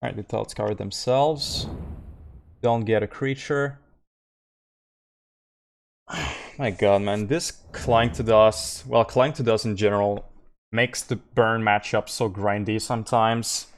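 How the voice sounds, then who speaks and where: A man's voice: fairly low pitch, fairly even intonation, takes it slowly, pauses often. A man from Quebec with a French accent, streaming a live card game and thinking aloud through his plays, with frequent fillers and easygoing asides to chat. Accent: Québécois French. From Quebec, Canada. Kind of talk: live gameplay commentary.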